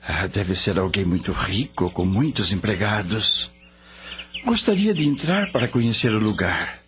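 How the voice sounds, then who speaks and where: A man's voice: on the low side, animated, measured pace, slight background noise. An actor playing a curious, naive Brazilian man full of bewildered questions in a radio drama. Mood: wondering. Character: curious, bewildered man visiting a spirit world. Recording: dull lo-fi radio recording with music. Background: music.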